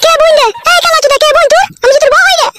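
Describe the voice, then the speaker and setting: A woman's voice: very high-pitched, racing. A woman voicing a furious young wife in a cartoon, nagging and cursing at her husband. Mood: scolding. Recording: loud hissy noise behind.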